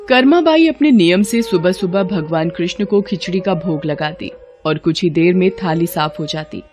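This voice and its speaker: A woman narrator reading a scripted devotional story. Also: lowish voice.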